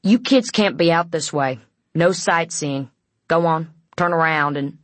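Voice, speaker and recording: A woman's voice: sits low, a little slow, dry recording. A woman voicing a curt, disgusted middle-aged male border guard who barks orders in a novel. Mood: disgusted alarm. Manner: barking, curt.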